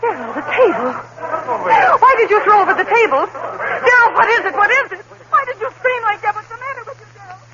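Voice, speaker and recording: An anxious, devoted young fiancée. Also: female voice, extremely high pitch, lively intonation, fairly quick, over a noisy crowd. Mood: frantic. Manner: anxious, pleading, affectionate. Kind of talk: 1940s radio acting. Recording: dull old radio broadcast with faint hiss.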